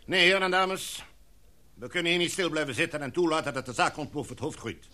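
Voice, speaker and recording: A man's voice: fairly high pitch, pushed and bright. Een man die in een hoorspel een formele, gezaghebbende expeditieleider speelt en afgemeten, redenaarsachtig spreekt. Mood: urgent, oratorical. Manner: formal, oratorical, measured. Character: authoritative, formal expedition leader, a knighted gentleman. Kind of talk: radio drama acting.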